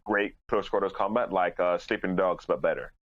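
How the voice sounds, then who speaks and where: A man's voice: deep voice, normal speed. A man speaking casually as a panelist in a podcast group discussion, on a lo-fi recording.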